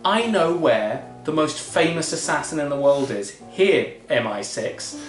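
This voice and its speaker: A man's voice: on the high side, lively intonation, a little slow, roomy sound, soft background music. A man co-hosting a casual film podcast, talking wryly and quoting lines from the movie. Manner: wry, conversational, quotes film lines. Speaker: podcast co-host.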